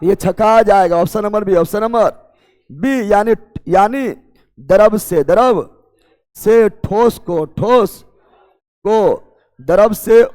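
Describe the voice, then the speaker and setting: A man leads a loud exam coaching class. He drills his students with call-and-response questions and pushes them to hurry. His voice sits high and rings with long reverb in a classroom. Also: takes it slowly, pauses often. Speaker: teacher.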